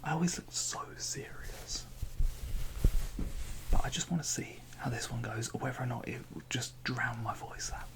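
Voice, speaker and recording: A man whispering ASMR-style into a close microphone covered by a fluffy windshield, rambling softly with playful, self-mocking asides while he films himself on a phone. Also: mid-range voice, moderate pace, poor audio. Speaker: ASMR creator.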